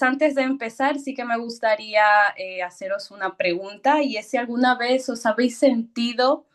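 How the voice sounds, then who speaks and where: A woman in her thirties from Ecuador speaks slowly and nervously, with many fillers and soft laughs. Her voice sits a little high, and she tells her story in a personal, hesitant way. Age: 30s. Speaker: guest speaker.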